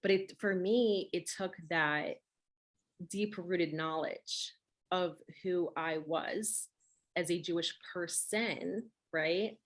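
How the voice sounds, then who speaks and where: A woman's voice: fairly low pitch, slow. A woman who grew up speaking Russian, hosting a conversational interview and talking emphatically, often checking with "right?". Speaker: host.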